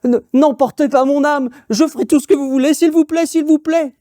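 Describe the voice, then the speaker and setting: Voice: high-pitched, dark tone, dry recording, clean studio sound, pitched above the performer's own voice, close to an everyday voice. Conteur jouant un bûcheron terrifié qui supplie. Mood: suppliant. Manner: pleading, breathless and fast. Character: starving, frightened woodcutter, a father.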